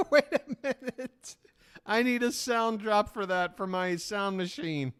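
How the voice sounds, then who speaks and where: A man's voice: slow pace, a bit bright, clean tone. A man hosting a live reaction stream, riffing sarcastically over a shopping broadcast and laughing often. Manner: sarcastic, jokey, laughs often, voice jumps high when mock-ranting.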